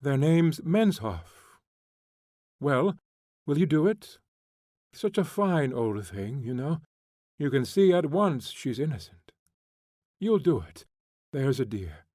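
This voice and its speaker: A man's voice: big pitch swings, slow, long pauses, mellow, no room sound, studio quality. A male reader voicing a bitter young woman convict who is drunk, furious and mocking. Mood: coaxing. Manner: scornful, mocking, shrill.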